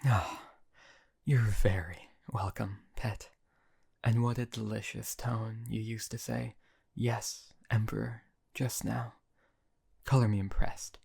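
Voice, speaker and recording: A man playing a silky, husky, possessive emperor. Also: mid-range voice, flat delivery, unhurried. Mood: cruelly tender. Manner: silky, condescending, softly whispering. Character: possessive, cruel yet doting male emperor. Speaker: audio roleplay performer. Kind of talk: audio roleplay acting.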